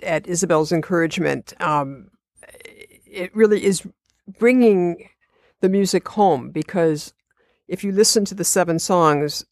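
A woman guitarist being interviewed, answering in an articulate, thoughtful and measured way. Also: low voice, no room sound, studio quality. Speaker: classical guitarist, interview guest. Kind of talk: interview conversation.

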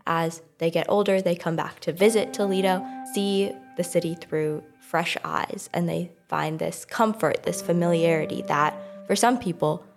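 A woman in her twenties from Milwaukee talks about her impressions in a casual, thoughtful way. Her voice is fairly low and carries a gravelly fry. Faint music sits behind her. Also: fairly even intonation, moderate pace. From Milwaukee, Wisconsin. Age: 20s. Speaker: student intern. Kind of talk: conversational interview.

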